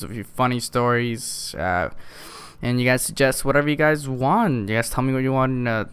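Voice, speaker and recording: A man's voice: medium pitch, fairly bright tone, clean tone, no room sound. A teenage male gamer telling a casual, rambling story in an exasperated, chuckling way. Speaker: video game YouTuber.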